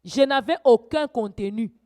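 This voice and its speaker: A woman preacher teaching a church sermon, swinging from quiet asides to loud, urgent exhortations. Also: fairly high pitch, normal speed.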